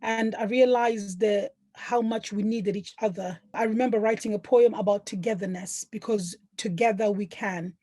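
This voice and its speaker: A woman's voice: mid-range voice, fairly even intonation, normal speed, hardly any pauses, mellow, dry recording. A woman social worker speaking earnestly in an interview. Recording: music bed behind voice. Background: instrumental music.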